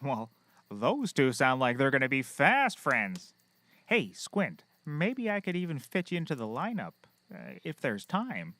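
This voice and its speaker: A man's voice: mid-range voice, huge pitch swings, measured pace, a bit bright. A man playing a folksy, self-pleased, dubious private eye in a scripted audio comedy, jaunty and exaggerated. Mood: breezy, dismissive. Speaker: lead character.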